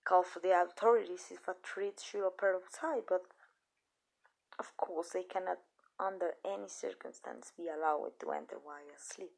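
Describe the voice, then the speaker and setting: Creaky, hushed vampire mistress, acted by a woman. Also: on the low side, moderate pace. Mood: proud, protective. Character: possessive, tender female vampire mistress. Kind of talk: ASMR roleplay, intimate scripted monologue.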